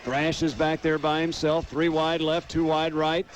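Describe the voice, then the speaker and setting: A man's voice: on the high side, level tone, unhurried. An American man doing live radio play-by-play commentary of a football game.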